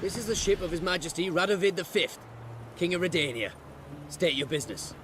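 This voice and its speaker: Officious royal guard, raised pitch. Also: male voice, expressive, a little fast, background noise. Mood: challenging. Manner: stern, declamatory. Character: officious, suspicious male royal guard on ship.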